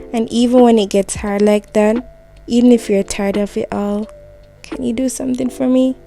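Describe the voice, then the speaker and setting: A woman delivers a gentle, reassuring, intimate spoken monologue, speaking directly to the listener like a heartfelt voicemail. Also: medium pitch, vocal fry.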